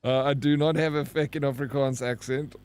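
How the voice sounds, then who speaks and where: A man's voice: medium pitch, fairly even intonation, a little fast, a little mellow, clean tone. A man with a South African accent, giving casual, joking gameplay commentary as a streamer and bantering with his chat. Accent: South African English. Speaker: game streamer.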